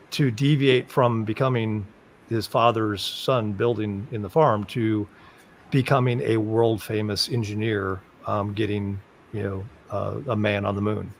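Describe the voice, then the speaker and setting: A man's voice: fairly low pitch, a little hiss or hum. A man speaking on a forum panel, thinking aloud with many ums and restarts. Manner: halting, full of ums and restarts. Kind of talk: discussion on a web forum call.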